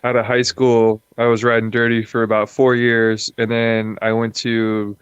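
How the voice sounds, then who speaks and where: Man, relaxed casual talk, halting restarts, smooth clear voice, dry close sound. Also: mid-range voice, no echo, dull audio. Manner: easygoing, agreeable, halting restarts. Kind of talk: casual chat.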